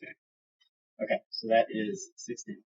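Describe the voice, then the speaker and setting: A man improvising narration as game master of a tabletop fantasy game, describing the action in halting, vivid detail. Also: a little mellow, lo-fi sound.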